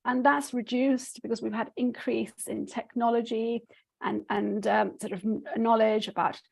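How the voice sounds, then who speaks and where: A woman's voice: a little high, flat delivery, normal speed, gravelly. A woman from Nepal, giving an academic conference presentation over a video call, hesitant with many fillers and restarts.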